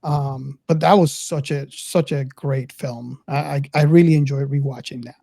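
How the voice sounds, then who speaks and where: A man's voice: mid-range voice, steady tone, normal speed, creaky, mellow, dry recording, studio quality. A man hosting a casual podcast discussion, speaking hesitantly and often repeating his words. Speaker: podcast host.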